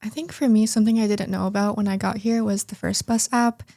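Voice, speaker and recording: A woman's voice: mid-range voice, steady tone, fast, vocal fry, warm tone. A young woman in her twenties, a graduate student guest, talking casually and unhurriedly with many fillers. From United States. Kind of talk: casual chat.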